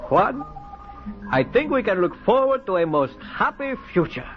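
Pompous, animated hotel manager. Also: male voice, high voice, moderate pace, over music. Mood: pragmatic. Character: Mexican hotel manager, pompous, pragmatic man. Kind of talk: 1950s radio drama acting. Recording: muffled old radio broadcast.

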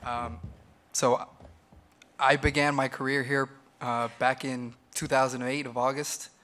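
A man's voice: medium pitch, level tone, measured pace, a bit bright. A man in his thirties at a panel table in a large hall, giving earnest prepared remarks with many ums. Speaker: restaurant manager, panelist. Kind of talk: prepared personal remarks at a roundtable.

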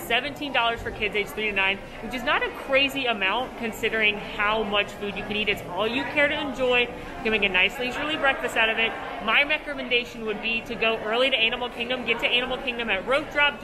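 A woman's voice: fairly high pitch, quick pace, low-quality recording. A young woman with an upbeat, gushing, chatty manner, giving a casual food review as a vlogger. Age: young adult. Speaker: food and theme park vlogger. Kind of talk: enthusiastic casual vlog review and food tour. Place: large buffet restaurant, indoors. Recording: busy restaurant crowd noise. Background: crowd chatter.

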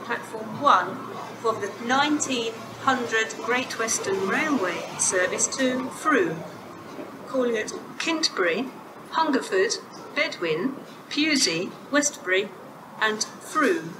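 A woman giving automated railway station announcements in a measured, formal way, played over loudspeakers. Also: fairly high pitch, noisy background. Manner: measured, formal, clearly spaced words. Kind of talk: recorded public announcements. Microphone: station loudspeakers recorded from far off. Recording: echoey platform speakers from far off. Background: station ambience.

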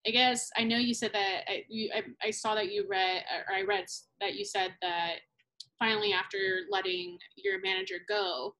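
A woman's voice: medium pitch, fairly even intonation, moderate pace, sharp tone, poor audio. Young woman interviewing over a webcam. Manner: friendly, rambling questions with many fillers. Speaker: interviewer for language school. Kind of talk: casual interview over video call. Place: small room at home. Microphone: laptop webcam on video call.

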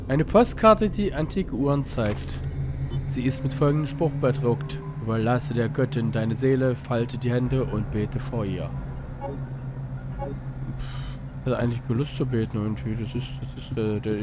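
Hoarse German-speaking gamer with a cold. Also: male voice, mid-range voice, a little slow, noisy background. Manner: rambling, self-correcting, reads game text aloud. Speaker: let's play host. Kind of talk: casual gameplay commentary.

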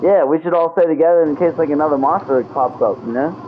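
Young man, high voice, muffled noisy mic. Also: quick pace. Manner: slangy, repeats himself. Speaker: player in a Minecraft roleplay video. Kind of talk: casual improvised gaming roleplay.

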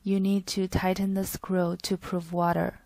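A woman's voice: on the low side, flat delivery, a little slow, creaky, dark tone, dry recording. A woman reading a scripted product tutorial in a stilted, phrase-by-phrase way. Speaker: product demonstrator.

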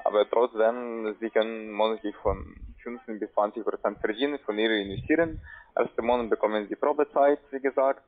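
A man with a foreign accent making a telephone sales pitch in halting, broken German with frequent repetitions, over a narrow phone line. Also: fairly even intonation. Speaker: telephone sales caller pitching trading investments.

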